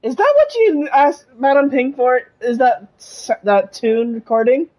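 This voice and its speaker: Lively, higher-voiced woman commenting on a game. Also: measured pace, poor audio. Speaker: gameplay streamer.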